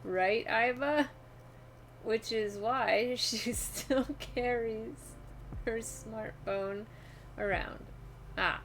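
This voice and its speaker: A woman's voice: a little high, extremely slow, a bit bright, slight background noise. A woman streaming a video game, chatting casually and reading on-screen text aloud as she plays.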